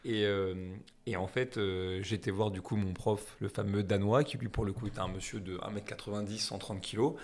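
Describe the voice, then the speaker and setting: A man's voice: fairly low pitch, fairly even intonation, normal speed, hardly any pauses, darkish tone. A man in his thirties from France, chatting casually as an interview guest, rambling and self-deprecating with lots of fillers.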